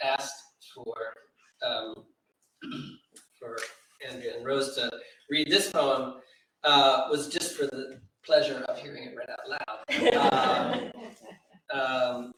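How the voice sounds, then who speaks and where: A man talks informally to a literary audience in a very reverberant room, picked up a little from afar. His pitch is mid-range, and he speaks in a thoughtful, halting way that wanders through asides. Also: pushed and bright. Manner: hesitant, digressive, thoughtful. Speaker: poetry editor and event speaker. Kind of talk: informal spoken commentary at a literary event. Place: large reverberant room at a live event. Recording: echoey room, distant microphone.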